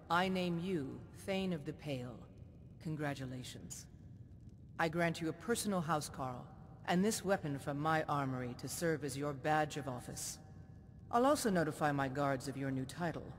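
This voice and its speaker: An actor voicing an older jarl and former soldier who speaks in a measured, formal, commanding way. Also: male voice, expressive, close to an everyday voice. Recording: game audio with long reverb and faint rumble.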